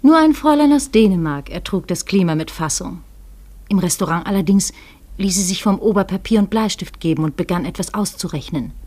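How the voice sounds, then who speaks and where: Medium-pitched, deliberate female narrator. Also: animated, a little mellow. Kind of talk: literary radio play narration.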